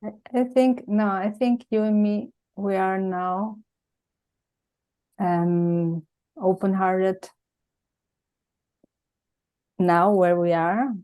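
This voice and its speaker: A woman with a mixed Venezuelan Spanish accent, Italian by first language, hosting a reflective conversation over a video call and searching gently for her words. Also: medium pitch, fairly even intonation, takes it slowly, smooth, lo-fi sound. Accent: Spanish, Venezuelan mix. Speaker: host. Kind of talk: unscripted heartfelt conversation.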